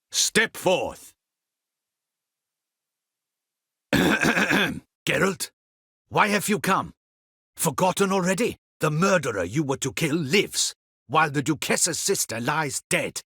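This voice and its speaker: An actor playing a proud, stern captain of a fantasy ducal guard in a video game, speaking formally in clipped, commanding, archaic courtly phrases. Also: male voice, mid-range voice, a bit bright, husky, dry recording, studio quality, heavily acted. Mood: loud, demanding. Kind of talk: dramatic video game voice acting.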